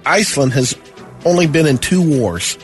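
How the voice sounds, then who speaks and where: A man's voice: fairly high pitch, animated, normal speed, soft background music. A man co-hosting a radio show, reading out news items amid casual banter.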